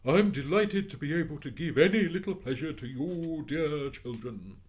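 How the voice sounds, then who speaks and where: A man voicing a fussy woman who turns jolly and kind, shrill and gushing. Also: animated, normal speed, lightly acted. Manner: shrill when cross, gushing when sweet.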